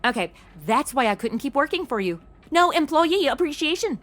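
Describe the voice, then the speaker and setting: A woman's voice: high voice, sing-song, moderate pace, fairly bright tone. An actress playing a flippant, ditzy modern young woman, sassy and unfazed, in a comedic audio drama. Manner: sassy, exaggerated modern slang delivery.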